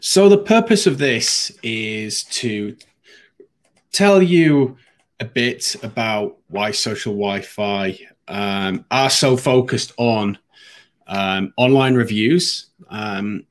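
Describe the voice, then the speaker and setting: A man's voice: on the low side, slow. A man hosting a live business webinar, a bit sniffly from hay fever, talking conversationally with frequent ums and restarts. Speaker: webinar host.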